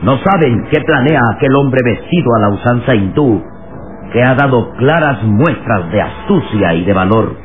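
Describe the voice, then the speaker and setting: An actor as the grave storyteller, giving dramatic scripted narration for a radio adventure serial. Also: male voice, medium pitch, expressive, normal speed, lightly acted. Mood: suspenseful. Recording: tinny old radio recording.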